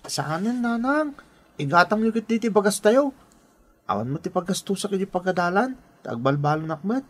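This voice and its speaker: A gentle, dutiful poor schoolboy in a radio drama. The voice sits in a middle register, and he speaks earnestly and respectfully, like a devoted son. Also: mid-range voice, big pitch swings, normal speed. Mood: caring, modest.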